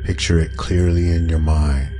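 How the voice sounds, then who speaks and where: A man narrating a guided meditation in a calm, soothing, unhurried way, as a guide would. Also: very deep voice, background noise. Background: meditation music with singing bowl tones.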